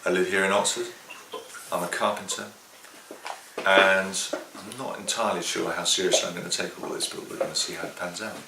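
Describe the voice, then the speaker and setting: A carpenter, talking about himself in a candid, dry, self-deprecating way. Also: male voice, sits low, fairly even intonation, a little fast. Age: 50s. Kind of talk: candid documentary interview. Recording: echoey room with faint noise.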